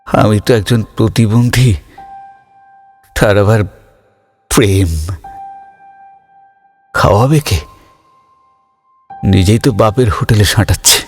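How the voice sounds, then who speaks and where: A slow, wistful young man, emotive. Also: fairly low pitch. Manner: halting, stammering, emotive delivery. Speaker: narrator and lead character. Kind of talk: dramatic Bengali audio story narration. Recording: music under the voice.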